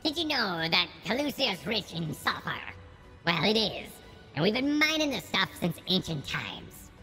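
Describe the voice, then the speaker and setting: A man putting on a high, lively dwarf chief. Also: measured pace, big-room sound, soft background music, full character voice. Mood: grumbling. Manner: folksy dwarven brogue, says aye and lad. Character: gruff old male dwarf mining chief.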